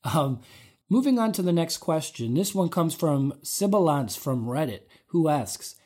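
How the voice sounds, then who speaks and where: A man's voice: a little high, big pitch swings, unhurried, a little mellow. A man co-hosting a podcast, upbeat as he reads out listener questions and plugs a website. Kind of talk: casual interview chat.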